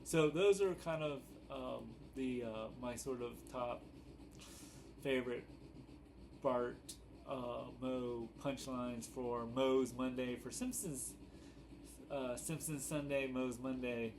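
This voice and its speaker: A man hosting a casual, unscripted fan commentary video, halting and rambling as he goes, often correcting himself. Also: medium pitch, steady tone. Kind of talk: casual unscripted chat to camera. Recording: noticeable background noise.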